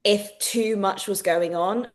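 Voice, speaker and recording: A woman's voice: mid-range voice, takes it slowly. A woman, a guest on a podcast, reflecting openly on her childhood and diagnosis. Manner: open, reflective, many fillers. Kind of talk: candid personal account.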